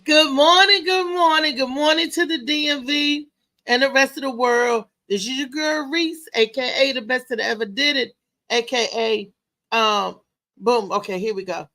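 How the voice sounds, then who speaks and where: A woman hosting a casual live show as a comedian, talking in a loud, lively, chatty way with lots of exclamations. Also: high voice, normal speed. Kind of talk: casual live-stream chat and promotion.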